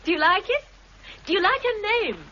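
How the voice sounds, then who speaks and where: An actress playing Mary, a bright, teasing young woman on a Scottish island, in a 1960s radio drama. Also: very high-pitched, brisk, heavily acted. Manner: lively, playful, laughs easily. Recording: dull lo-fi archive radio recording, faint hiss.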